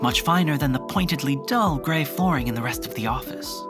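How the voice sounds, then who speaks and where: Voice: medium pitch, expressive, fairly quick, close to an everyday voice. A narrator reading scripted, descriptive scene-setting for an audio drama. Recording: music clearly behind the voice.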